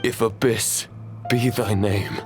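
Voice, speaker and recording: Slow, solemn tragic knight. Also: male voice, on the low side, fairly even intonation, music in the background. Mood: grave, resolute. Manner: slow, archaic, declamatory. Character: solemn tragic male knight, gravely devoted to justice. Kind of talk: dramatic video game voice acting.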